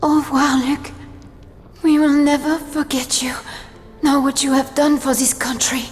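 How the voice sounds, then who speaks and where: Resolute young Frenchwoman of the resistance, fairly high voice, reverberant game cutscene. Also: flat delivery, a little slow, faint music behind. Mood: sorrowful. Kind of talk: video game cutscene acting.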